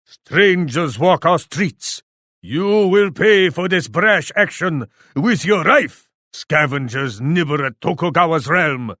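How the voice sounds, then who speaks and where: An actor playing a pompous, menacing Japanese shogun warlord in a video game, speaking with formal, scornful deliberation. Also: male voice, a little high, a bit bright, no room sound, clean studio sound. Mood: threatening. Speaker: enemy leader taunting the player. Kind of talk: theatrical video game villain voice lines.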